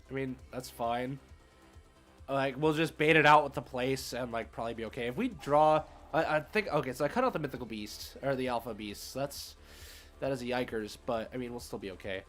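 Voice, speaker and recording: A man's voice: medium pitch, fairly bright tone. A man hosting a livestream and giving casual, slangy commentary on a card game as he thinks aloud.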